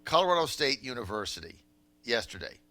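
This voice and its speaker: An American man hosting political talk radio, with an incredulous, emphatic and halting way of talking. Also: medium pitch, sing-song, sharp tone. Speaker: radio talk show host.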